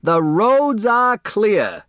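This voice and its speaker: An exuberant, clownish older delivery man from a storybook. He yells boisterously in a high, swooping voice, as if everything were a celebration. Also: wildly swooping pitch, extremely slow, no echo, studio quality, exaggerated voice. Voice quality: cackling. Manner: yelling boisterously.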